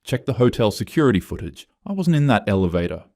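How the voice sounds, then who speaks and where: A man's voice: lowish voice, sing-song, fast, darkish tone, no room sound, studio quality, voice pushed up. A man voicing a desperate, bewildered male office worker accused in a narrated story, pleading and agitated.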